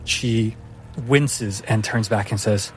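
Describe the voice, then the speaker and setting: A man narrating a tabletop fantasy game as game master, theatrical and deliberate. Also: fairly low pitch, a little hiss or hum.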